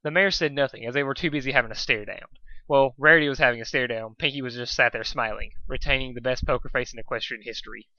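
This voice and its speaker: A man's voice: medium pitch, fast talker, pushed and bright, dry recording, muffled recording. A man reading a story aloud in an informal amateur way, stumbling over words now and then. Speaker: fan fiction reader.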